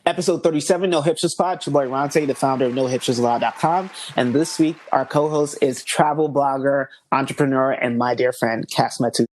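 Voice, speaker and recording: A man hosting a podcast in relaxed casual chat, often saying "right, right.". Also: mid-range voice, a little fast, hardly any pauses, noisy background. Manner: relaxed, many "right, right" fillers. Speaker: podcast host.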